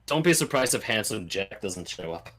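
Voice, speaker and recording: A man's voice: fairly low pitch, big pitch swings, normal speed, a loud crowd. A man talking as a podcast guest in casual conversation, explaining things in a matter-of-fact way. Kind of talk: casual chat, explaining film news.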